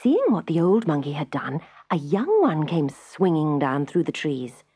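Lively, slightly husky woman storyteller. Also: low voice. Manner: measured storytelling with dramatic emphasis. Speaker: storybook narrator. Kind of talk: expressive scripted children's story narration.

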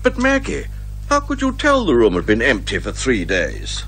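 An actor playing a fussy, sceptical sidekick in a radio detective comedy, questioning and incredulous. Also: male voice, mid-range voice, huge pitch swings, moderate pace, fairly bright tone, slightly rough, full character voice. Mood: puzzled. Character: fussy, sceptical male sidekick, easily flustered. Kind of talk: 1980s radio comedy detective drama acting.

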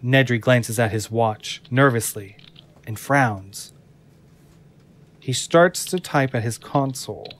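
A man's voice: medium pitch, takes it slowly, pauses often, a bit bright, a little hiss or hum. A man reading scripted narration for an audio drama. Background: music.

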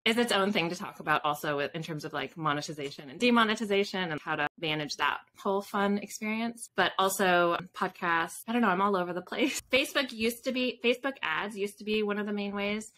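A woman's voice: mid-range voice, brisk, few pauses, fairly bright tone, a little hiss or hum. A woman in her thirties giving casual, informal interview answers on a video call, often interrupting herself. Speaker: podcast guest, author. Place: home room with bookshelves.

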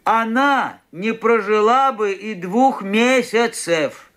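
A rambly smug old doc on the phone, really high voice. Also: male voice, slow, a bit bright. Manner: rambling, fussy, talking on the telephone. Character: fussy old male doctor, self-satisfied. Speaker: doctor. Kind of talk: Soviet-era radio play acting.

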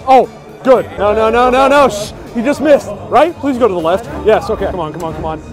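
A man's voice: sits high, brisk. Hyped young man filming at a stadium. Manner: hyped, loud outbursts. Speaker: vlogger. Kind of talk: excited running commentary. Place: outdoor stadium golf bays at night, rain. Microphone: action camera held at arm's length. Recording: noisy outdoor crowd and music. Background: crowd chatter and music.

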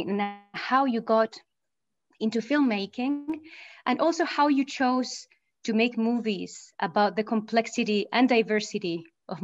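A woman in her thirties moderating an interview on a video call, speaking in a formal, measured way. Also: mid-range voice, no echo. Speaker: moderator, researcher. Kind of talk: scripted welcome then interview questions. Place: room at home. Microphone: laptop mic on a video call. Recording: dull, dry laptop audio.

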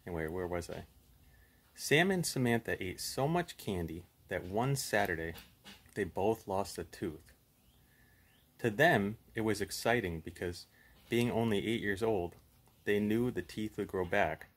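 A man's voice: on the low side, lively intonation, measured pace. A man in his thirties hosting a puppet show, chatting casually and reading a horror story aloud.

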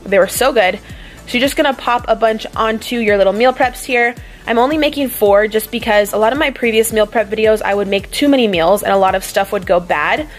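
A young woman vlogger talking her viewers through a meal prep in a relaxed, chatty voice-over with easy fillers. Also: on the high side, fairly quick. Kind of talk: casual cooking voice-over. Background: faint background music.

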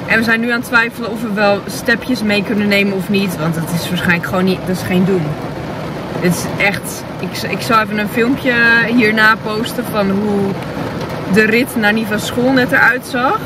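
A woman's voice: medium pitch, steady tone, normal speed. A woman in her thirties chatting casually into her phone for a vlog, full of fillers and restarts, first from a car and then outdoors in the rain. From Netherlands. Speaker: vlogger. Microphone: phone held at arm's length. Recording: phone audio with rain and traffic noise.

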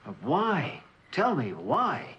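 A husky, burdened, intense scientist. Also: male voice, a little high, huge pitch swings, slow pace, full character voice. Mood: shocked. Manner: intense, pleading. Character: earnest, burdened, driven project scientist and husband. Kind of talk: mid-century American TV drama acting. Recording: dull old television soundtrack.

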